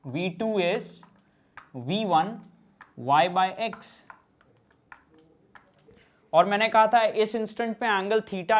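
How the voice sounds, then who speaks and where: High-voiced man teaching physics slowly. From central India. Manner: mixes Hindi and English, reads out student questions and answers them. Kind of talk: online class lecture.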